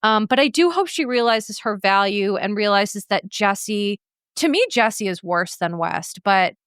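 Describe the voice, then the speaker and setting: A woman hosting a podcast in casual chat, outspoken and animated, swearing freely. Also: mid-range voice, unhurried, smooth, no room sound, studio quality. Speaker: podcast host.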